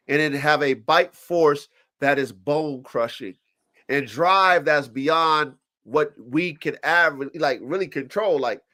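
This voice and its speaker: A congested man hosting a live stream, his voice sitting a little higher than most men's. He talks in an animated, profane way, slipping into quoted voices of dogs and owners. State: congested from allergies. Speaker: podcast host. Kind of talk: casual chat. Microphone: live stream mic.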